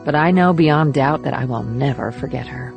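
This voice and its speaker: A woman's voice: extremely low pitch, moderate pace, vocal fry, dull audio, over music. A woman performing an earnest, reflective female government operative in an audiobook thriller. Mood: somber, reflective. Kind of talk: dramatic audiobook dialogue.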